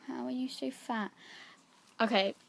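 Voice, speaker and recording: A teenage girl with a croaky voice, chatting rambly into a phone held at arm's length, saying "like" a lot. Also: high-pitched, fairly even intonation, unhurried, dry recording. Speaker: vlogger. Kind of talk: casual vlog. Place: bedroom, then back garden.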